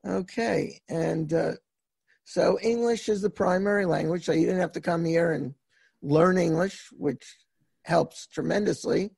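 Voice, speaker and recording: A man with a high, gravelly voice interviews a guest on a podcast. His voice is breathy and a little husky, and it sounds dry and close, with no room around it. He asks chatty questions and often stops and restarts mid-sentence. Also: darkish tone, no echo. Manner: folksy, halting questions with many restarts. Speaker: podcast host.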